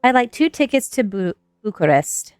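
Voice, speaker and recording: A woman's voice: mid-range voice, sing-song, normal speed, clear, resonant tone, dry recording, studio quality, faint music behind, natural voice. Woman acting creepy old European man, thick accent. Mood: courtly, formal. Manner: formal, courtly. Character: bony, creepy old European butler, courtly and droll.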